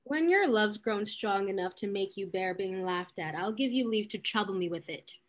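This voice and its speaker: A woman plays a sharp-tongued young lady of period stage comedy. She is proud and disdainful, speaking at a medium pitch with cool, pointed theatricality. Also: lively intonation, moderate pace, natural voice. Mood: cool, mocking. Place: indoors at home on video call.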